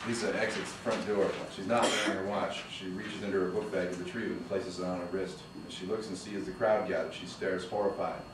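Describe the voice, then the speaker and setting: Deep-voiced man reading stage directions. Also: fairly quick, hardly any pauses. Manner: steady, even reading of action lines. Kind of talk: screenplay table read. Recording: echoey room from far off with noise.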